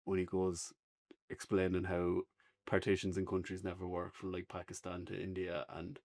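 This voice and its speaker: An Irish man chatting casually as a podcast co-host, unscripted, halting at times as he searches for words. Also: sits low, monotone, a little mellow. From Ireland. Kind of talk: casual unscripted review chat.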